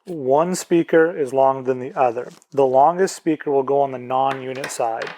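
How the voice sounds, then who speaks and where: A man's voice: medium pitch, normal speed, darkish tone, slight background noise. A man presenting an instructional product tutorial, explaining plainly step by step.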